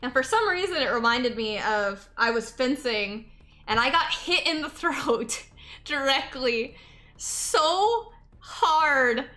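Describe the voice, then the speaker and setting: A young adult woman streaming a game and chatting with viewers, slightly hoarse, talking in a loose, rambling way full of "like" and repeated thank-yous. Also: high voice, lively intonation, slow pace, bright, forceful tone. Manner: chatty, many filler likes, repeats thanks. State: a bit hoarse, sore throat. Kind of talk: casual chat while gaming.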